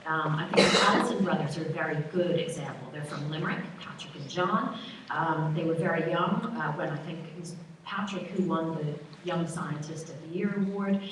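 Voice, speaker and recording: American woman speaking in an echoey hall. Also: lowish voice. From United States. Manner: steady, earnest delivery with filler ums. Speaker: panel speaker. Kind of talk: prepared forum speech. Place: conference hall. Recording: echoey hall from far off, faint noise.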